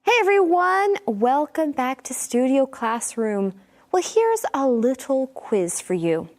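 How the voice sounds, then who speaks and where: Woman co-host, English lesson, slow measured delivery. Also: sits high, big pitch swings, darkish tone. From native English speaker. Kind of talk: scripted teaching chat.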